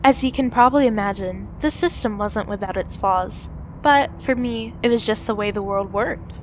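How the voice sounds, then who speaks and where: A woman voicing a cynical pony survivor, narrating a post-apocalyptic radio play in a measured, dramatic first-person style. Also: medium pitch, slight background noise, natural voice, low-quality recording. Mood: wry, matter-of-fact. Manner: measured, dramatic storytelling with rhetorical questions. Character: cynical female pony survivor raised in an underground bunker. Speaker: narrator and main character.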